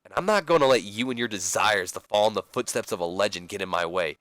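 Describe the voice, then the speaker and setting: A man's voice: lowish voice, animated, fast talker, fairly bright tone, no echo. A man playing a frustrated wrestler desperate to break through, speaking in an insistent, exasperated promo style. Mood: desperate, determined.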